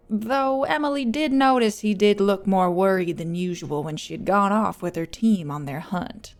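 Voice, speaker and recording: A woman's voice: medium pitch, creaky. A woman reading scripted audiobook narration as the storyteller.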